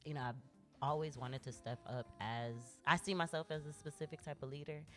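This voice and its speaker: A woman's voice: low voice, brisk, soft background music. A woman chatting casually and reflectively, hesitating as she gathers her thoughts. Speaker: podcast co-host. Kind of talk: casual chat, personal reflection.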